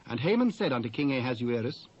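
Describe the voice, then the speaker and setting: A British man narrating scripture in a solemn, measured, dramatised style. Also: mid-range voice, big pitch swings, a little mellow, husky. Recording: dull old cassette recording.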